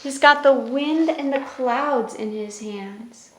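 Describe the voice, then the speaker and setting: A woman's voice: sits high, slow pace, background noise. A woman hosting a children's story time, reading aloud and explaining in a simple way. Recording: roomy echo with music.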